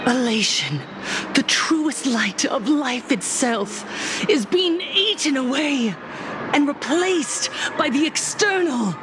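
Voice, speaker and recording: A woman's voice: sits high, expressive, slow, background noise. An actress playing a fervent woman cult preacher in a game cutscene, impassioned and sermon-like, sinking to whispers, with music behind her. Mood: zealous. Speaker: cult leader preaching to a crowd.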